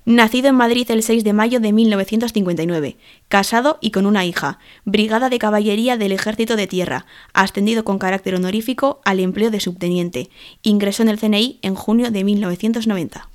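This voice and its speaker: Female reader, formal memorial read-out, steady and even. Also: medium pitch, fairly quick. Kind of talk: scripted reading.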